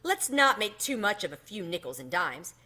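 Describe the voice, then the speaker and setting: A woman's voice: mid-range voice, sing-song, moderate pace, bright, forceful tone. A woman voicing a melodramatic, self-pitying, miserly little male mouse for a children's storybook, pleading and theatrical with quaint phrasing. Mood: nervous, pathetic. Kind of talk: children's storybook read-aloud.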